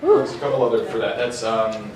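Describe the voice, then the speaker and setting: A man's voice: fairly low pitch, wildly swooping pitch, fairly quick, darkish tone. A man joking casually as a panelist at a live event. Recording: echoey convention room, distant mic, noisy.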